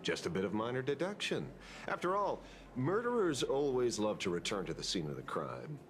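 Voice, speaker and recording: A man's voice: mid-range voice, wildly swooping pitch, moderate pace. An actor playing a self-assured male private detective in a video game, theatrical, musing and playful. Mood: playful, confident. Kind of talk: dramatic video game dialogue.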